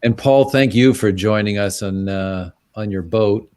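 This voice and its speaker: A man's voice: lowish voice, a little mellow, no echo, clean studio sound. A man hosting a live online conversation, thanking his guests gratefully and often restarting his sentences over a video call. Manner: halting, grateful, repeats words while starting sentences. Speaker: event host.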